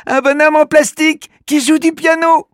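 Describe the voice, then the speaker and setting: A man voicing a bossy, quick-tempered little girl in a children's storybook, in a squeaky, bossy voice. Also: fairly even intonation, fairly bright tone, no room sound, clean studio sound. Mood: amazed.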